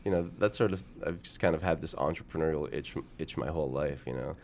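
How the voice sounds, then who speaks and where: A man from Canada, a startup founder as a podcast guest, agreeable and quick to affirm. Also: a bass voice, a little mellow. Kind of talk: casual interview chat.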